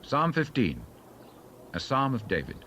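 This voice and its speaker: A man's voice: mid-range voice, sing-song, measured pace, fairly bright tone, a little hiss or hum. A man giving formal, scripted announcements of scripture titles.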